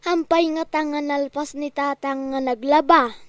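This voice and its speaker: A woman's voice: high voice, steady tone, moderate pace, dry recording, studio quality, lightly acted. A woman voicing a young child who is a dutiful younger sibling, talking in an earnest, pleading way.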